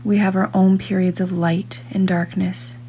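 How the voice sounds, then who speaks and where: A woman reading a scripted guided meditation in a calm, measured manner, leaving long pauses between phrases. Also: mid-range voice, slight background noise.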